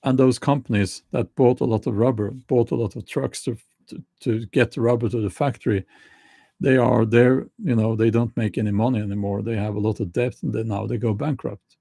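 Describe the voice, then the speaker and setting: A middle-aged man with a Norwegian accent talking casually to camera, slow and halting with many restarts. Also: mid-range voice, fairly even intonation, gravelly, warm tone, no echo, clean studio sound. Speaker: YouTuber.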